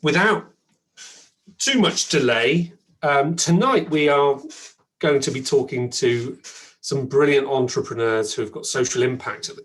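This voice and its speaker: Chatty man hosting an online event. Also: mid-range voice. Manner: chatty, thanks people, frequent ums and restarts. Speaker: event host.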